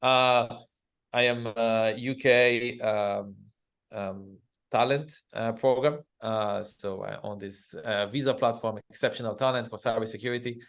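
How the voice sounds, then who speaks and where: A middle-aged man from Israel with an Israeli accent, answering interview questions in an office with frequent pauses and fillers. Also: mid-range voice, fairly even intonation, a bit bright. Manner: halting, many "uh" fillers, non-native phrasing. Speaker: guest, cybersecurity company CEO.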